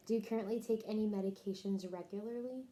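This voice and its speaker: A woman's voice: mid-range voice, fairly even intonation, fast talker, warm tone. A woman interviewing someone for a health history, working methodically through prepared questions and briefly acknowledging answers. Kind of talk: scripted clinical questionnaire interview.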